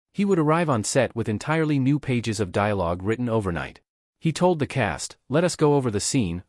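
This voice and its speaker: A man reading scripted documentary narration in a steady, measured storytelling manner. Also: medium pitch, no echo, clean studio sound.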